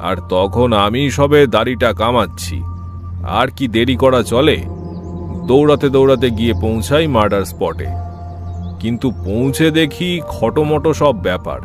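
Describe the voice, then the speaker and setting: A man playing a flustered, hurried police officer in an audio drama, earnest and explanatory. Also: medium pitch, animated, lightly acted. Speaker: voice actor in an audio story. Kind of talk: Bengali detective audio drama acting. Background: music.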